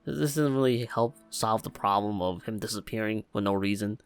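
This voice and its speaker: A man with a fairly low voice comments casually as he plays. He sounds like a gaming YouTuber, dropping short, informal reactions. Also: normal speed, clear, resonant tone, faint music behind.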